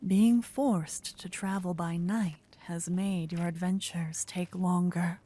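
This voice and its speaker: A woman softly narrating a scripted fantasy ASMR story, breathy and often close to a whisper on a binaural mic. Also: lowish voice, fairly even intonation, slow pace. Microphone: close binaural microphone.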